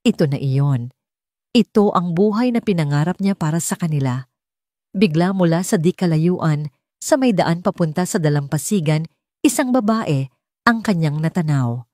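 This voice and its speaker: A Filipino woman narrates a romance audiobook in a lowish voice. The recording is dry and close, with a scripted storytelling delivery. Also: expressive, normal speed, dark tone, no room sound.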